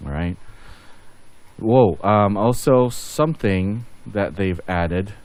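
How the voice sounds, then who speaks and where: A man's voice: on the low side, slow, a little mellow, no echo. A man giving casual game update commentary as a channel host, rambling and thinking aloud as he goes.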